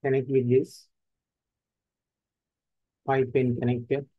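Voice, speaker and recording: A man with an Indian English accent giving terse, step-by-step instructions in a screen-recorded software tutorial. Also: mid-range voice, level tone, slow pace, no echo, phone-quality sound.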